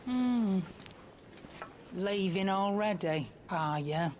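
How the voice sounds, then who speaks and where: A woman's voice: mid-range voice, animated, extremely slow, a little mellow, slight background noise. A woman playing a brusque, grumbling middle-aged storekeeper with a British accent in a video game, tart and colloquial. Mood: wry. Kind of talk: cinematic video game acting.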